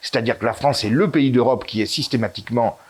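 A man's voice: mid-range voice, fast talker, a little hiss or hum. A man of Italian origin, a guest in a political interview, talking with many fillers and restarts and pushing hard on key words. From Italy. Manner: hesitant, frequent fillers and restarts, emphatic rises.

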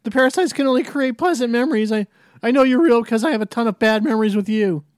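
A man's voice: very high-pitched, fast, no room sound, clean studio sound, voice pushed up. A man reading a script aloud, voicing a nervous, stammering teenage boy. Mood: pleading. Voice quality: stammering, anxious.

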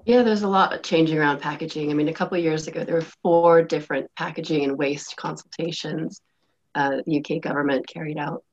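A woman's voice: low-pitched, steady tone, fairly quick, muffled recording. A woman speaking on a panel about sustainability, explaining at length with many fillers while losing her voice and fighting a cough. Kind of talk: panel discussion.

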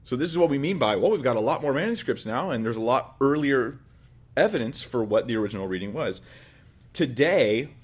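A middle-aged pastor hosts his own teaching show in a loose, chatty way. He chuckles now and then, repeats himself for emphasis, and keeps a medium-pitched man's voice. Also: a little fast, slightly rough. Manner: relaxed and conversational, with chuckles and repeated phrases. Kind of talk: teaching talk on the Bible.

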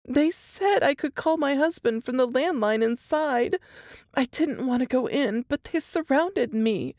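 A woman's voice: sits high, lively intonation, unhurried, darkish tone, no room sound, clean studio sound, voice pushed up. A woman narrator voicing a distraught, frightened woman who speaks in a shaky, quivering voice. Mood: shaken. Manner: trembling, tearful telling. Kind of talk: audiobook character voice.

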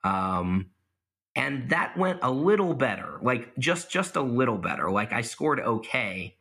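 A man's voice: mid-range voice, big pitch swings, unhurried, sharp tone, husky. A young man answering interview questions casually, self-deprecating and laughing at his own stories, with plenty of fillers. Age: young adult. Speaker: guest, mock trial competitor.

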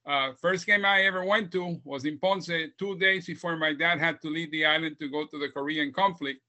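A man's voice: a little high, steady tone, sharp tone. A man in his seventies from Puerto Rico, a podcast guest telling his story in a heartfelt, reflective way. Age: 70s. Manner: heartfelt, reflective, speaks slowly. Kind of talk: reflective storytelling interview answers.

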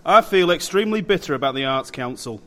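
A man's voice: fairly high pitch, expressive, fast, pushed and bright. A man playing a bitter, furious theatre director in an indignant, declamatory rant on a podcast.

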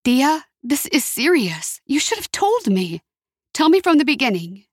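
A woman's voice: on the high side, big pitch swings, unhurried, slightly rough, dry recording, studio quality, voice pushed up, exaggerated voice. A woman voicing a shaken counselor who fires off anxious, urgent questions. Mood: alarmed.

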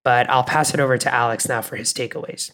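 A man co-hosting a podcast, sharing reflective takeaways in a measured, thoughtful way with frequent fillers. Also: mid-range voice, steady tone, a bit bright. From Bangladesh, Canada and the US. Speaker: podcast co-host.

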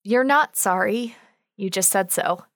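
A woman in an audio drama telling a fairy tale in a slow, gentle and deliberate way. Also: mid-range voice, no room sound, studio quality. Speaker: storyteller. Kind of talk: audio drama, telling a bedtime-style story.

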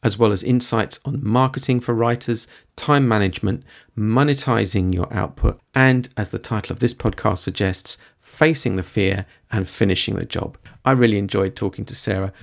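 A man hosting a podcast interview, speaking in a friendly, measured way with some hesitant restarts. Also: fairly low pitch, vocal fry. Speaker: podcast host.

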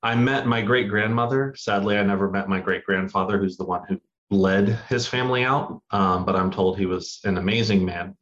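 A man's voice: on the low side, steady tone, normal speed, few pauses, fairly bright tone. A young man, a guest on an interview show, earnestly telling family stories with some fillers. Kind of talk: interview answers. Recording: dull lo-fi audio.

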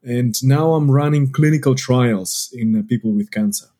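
A man from Greece with a Greek accent, a scientist guest calmly explaining things step by step in an interview. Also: mid-range voice, normal speed. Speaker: podcast guest, exercise scientist.

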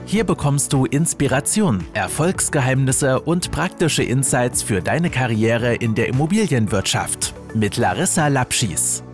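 A man's voice: mid-range voice, lively intonation, unhurried, hardly any pauses. A man reading a scripted promotional introduction as the announcer of a podcast. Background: music.

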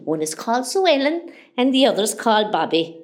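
A woman's voice: fairly high pitch, sing-song, brisk. An actress with a West Cork Irish accent playing a chatty, nosy, melodramatic middle-aged mother on a hospital ward in a comedy radio play. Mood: chatty, dramatic. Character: chatty, dramatic Irish mother, patient groggy after surgery.

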